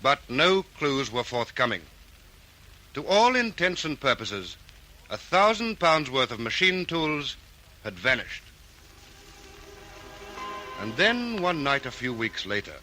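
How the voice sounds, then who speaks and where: A man narrating an old radio crime serial, in a crisp, formal, dramatic style. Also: mid-range voice, pushed and bright, low-quality recording. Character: earnest male crime-case narrator.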